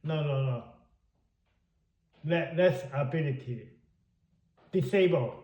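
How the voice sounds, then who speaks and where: A man's voice: a little high, slow pace, a little mellow. A man learning English in a casual lesson, hesitant and searching for words. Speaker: English student. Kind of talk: casual one-on-one language lesson. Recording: roomy, muffled and dull.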